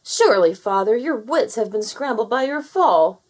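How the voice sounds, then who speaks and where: A woman's voice: a little high, animated, normal speed, close to an everyday voice. A woman voicing a scheming, hot-tempered young rooster prince who talks in a sneering, blustering way. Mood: scornful.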